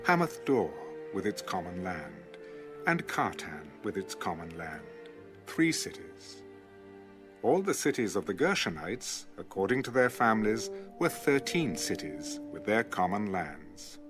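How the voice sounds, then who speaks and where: Low-voiced man reading scripture over music. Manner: measured, even recitation of place names. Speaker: audio Bible narrator. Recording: music bed under the reading. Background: background music.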